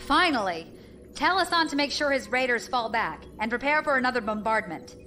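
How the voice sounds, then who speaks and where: A woman playing a decisive young pirate clan leader in an audio drama, with a brisk, commanding delivery. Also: on the high side, expressive, pushed and bright, slight background noise. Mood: eager, resolute.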